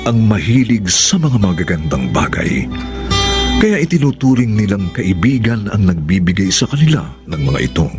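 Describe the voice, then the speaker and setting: A man's voice: fairly low pitch, sing-song, normal speed, loud music behind the voice. A man announcing a radio drama with dramatic scripted narration over a prominent musical bed.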